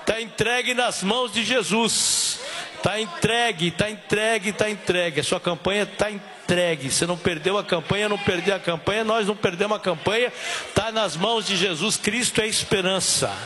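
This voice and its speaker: A hoarse, high-pitched pastor preaching in a church hall. He repeats his phrases with fervor, calling out to the congregation and rising to shouts at the peaks. His worn voice strains but keeps pushing through. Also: moderate pace. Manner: fervent, repetitive call-and-response, shouting at peaks. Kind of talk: church service preaching and prayer.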